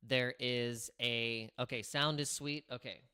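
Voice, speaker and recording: Raspy guy hosting a stream, kinda rambly, lots of restarts, streaming mic, normal pitch. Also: fairly even intonation, unhurried, bright, forceful tone. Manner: rambling, with many restarts and filler words. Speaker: crypto livestream host. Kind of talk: casual livestream chat. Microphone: streaming microphone through streaming software.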